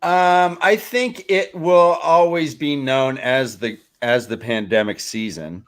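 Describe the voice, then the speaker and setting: A man on a sports panel talking casually, thinking aloud in halting phrases. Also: medium pitch. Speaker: fantasy football panelist.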